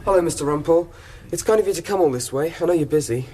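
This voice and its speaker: A male actor plays a young Englishman of about twenty in a radio drama. His voice is fairly high, and he speaks with mild, candid politeness, sounding trusting and innocent. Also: rapid-fire, mellow, a little hiss or hum, lightly acted. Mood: polite, reassuring. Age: young adult. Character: trusting, innocent young male college gardener accused of blackmail. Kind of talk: British radio drama acting.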